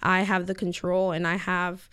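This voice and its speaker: A teenage girl whose first language is Spanish, talking about herself in an interview. Her voice comes across very clear and clean. She answers earnestly, circling back with fillers and restarts as she thinks. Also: lowish voice, completely flat delivery, moderate pace, fairly bright tone. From United States. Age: teens. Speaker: high school student guest.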